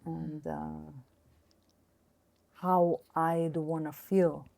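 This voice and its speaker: A middle-aged woman from Austria with a low, deep-set voice speaks at an unhurried pace. She is a guest in a relaxed conversation, hesitating often with fillers and false starts. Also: creaky. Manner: hesitant, many fillers and restarts. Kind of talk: casual interview conversation.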